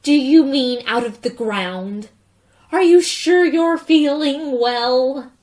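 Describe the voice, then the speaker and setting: A woman's voice: high-pitched, lively intonation, takes it slowly, darkish tone. A woman playing a suspicious, testy small-town housewife with an American accent in a radio drama. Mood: puzzled, wary.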